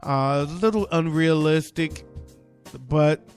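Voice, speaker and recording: A man's voice: on the high side, slow pace, soft background music. Chatty joking male podcast host. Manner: chatty and joking, many "uh" fillers. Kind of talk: casual solo news commentary.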